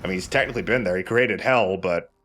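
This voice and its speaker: Low-voiced man giving casual game commentary. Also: fast talker, noisy background. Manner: opinionated, informal. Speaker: let's play commentator. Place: voice over game audio.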